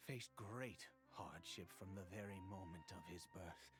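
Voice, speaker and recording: An actor playing a grief-stricken, centuries-old cursed nobleman in a video game, with halting, formal speech and nervous stammers. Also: sits low, over music. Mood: sorrowful reminiscence. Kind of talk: dramatic video game voice acting.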